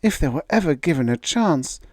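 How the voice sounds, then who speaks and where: A woman's voice: sits low, animated, brisk, dry recording, clean studio sound, voice pushed up. A man reading aloud, voicing a young woman who firmly stands up to her bigoted father. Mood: resolute. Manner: firm, quietly resolute.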